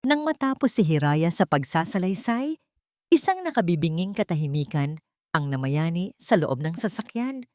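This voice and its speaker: A woman narrating an audio drama in a measured, dramatic storytelling manner. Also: fairly low pitch, very animated, a little mellow, no room sound, studio quality.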